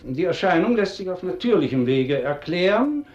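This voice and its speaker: A man acting a conscientious, hesitant physics professor in a 1950s German radio play, speaking formally and in measured rhetorical phrases. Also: high voice, very animated, a little mellow, highs cut off. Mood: grave, persuasive. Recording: roomy, echoing 1950s radio production.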